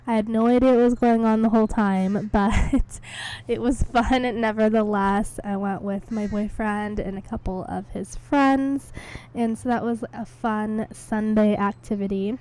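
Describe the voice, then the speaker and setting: Young female podcast host, hoarse from a cold, relaxed dark-toned, chatty and rambling. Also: mid-range voice, unhurried, rough recording. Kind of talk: casual solo chat. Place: home, indoors.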